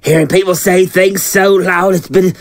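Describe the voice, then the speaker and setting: An actor playing a volatile, menacing small-time thug and abusive boyfriend in an audio drama, with a rough, bullying, slangy way of talking. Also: male voice, high voice, flat delivery, moderate pace, dry recording, studio quality, natural voice. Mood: menacing anger.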